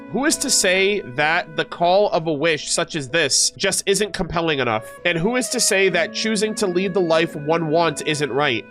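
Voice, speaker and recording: Male game streamer, high-pitched voice, lore reading and casual reactions, informal, faint background music. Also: fairly bright tone. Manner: informal, mild swearing, addresses chat.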